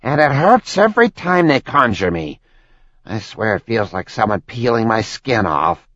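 A man voicing an excitable, chatty male demon servant who speaks eagerly with a lively, swinging delivery. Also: on the low side, moderate pace, no room sound. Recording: dull, dry lo-fi audiobook sound.

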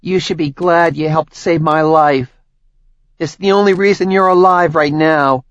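A man's voice: on the high side, steady tone, unhurried, a bit bright, no echo, voice pushed up. A male narrator voicing a hostile, sneering woman who speaks sharply and with scorn. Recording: dry, slightly dull audiobook recording.

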